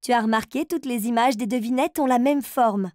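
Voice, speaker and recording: A woman's voice: on the high side, brisk, a little mellow, no echo, studio quality, lightly acted. A woman voicing a kind, encouraging grown-up who leads a riddle game for little children in a cartoon, speaking in simple phrases. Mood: kindly, explaining.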